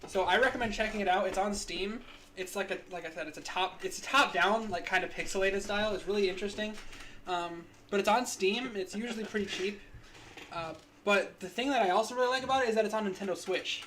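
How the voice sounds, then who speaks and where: A woman's voice: mid-range voice, fast, a bit bright, a little hiss or hum. A woman in her twenties co-hosting a casual podcast, rambling with lots of likes and ums. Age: 20s. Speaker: podcast co-host.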